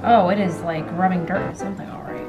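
A woman's voice: on the low side, steady tone, fast talker, dull audio. A young American woman casually and playfully hosting a recipe demonstration in a home kitchen, heard from a camera a few steps away. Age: young adult. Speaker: cooking vlog host. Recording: roomy, distant mic, loud music behind.

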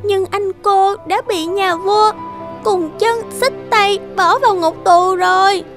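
A woman's voice: extremely high pitch, fairly even intonation, slow, faint music behind, full character voice. A woman voicing a small, loyal talking dove messenger in a cartoon fairy tale, earnest and respectful. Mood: earnest, reassuring.

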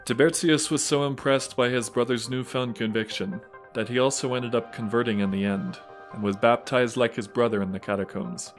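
A man's voice: medium pitch, fairly even intonation, moderate pace, few pauses, faint music behind. A man reading scripted storytelling narration for an audio drama.

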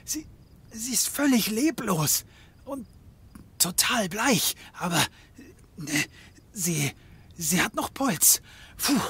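A man's voice: high-pitched, big pitch swings, slow, long pauses, fairly bright tone, husky, heavily acted. A man playing a grumpy, sardonic private detective in his late thirties in a comedic audio drama, muttering and stammering, with some noise behind him. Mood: alarmed, urgent.

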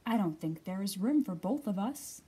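A woman's voice: mid-range voice, lively intonation, moderate pace, mellow, breathy edge. A woman voicing an apologetic storybook mole, a mumbling homebody.

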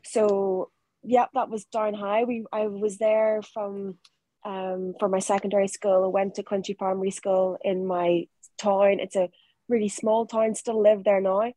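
A woman's voice: mid-range voice, a little slow, no echo. A young woman with a Northern Irish accent, chatting easily as an interview guest over a video call. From Northern Ireland. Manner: relaxed, chatty, laughs easily. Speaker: rugby player guest. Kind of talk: casual interview answers. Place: home room, video call.

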